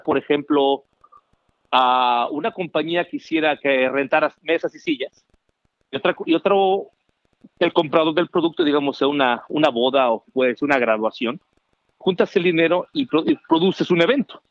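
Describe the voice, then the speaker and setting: Mexican man, middle-aged, chatty and animated, a bit high-pitched, dry muffled sound. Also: normal speed, no room sound. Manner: animated, chatty storyteller with many fillers. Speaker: guest, founder. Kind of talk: casual storytelling interview. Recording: dry, dull remote call audio.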